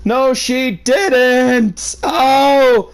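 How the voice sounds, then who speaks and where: A man's voice: very high-pitched, fairly even intonation, drawn-out words, gravelly, clear, resonant tone, no echo. A man reading a story aloud and reacting to it with theatrical, exclamatory commentary and sarcastic asides. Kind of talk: live read-aloud with outraged commentary.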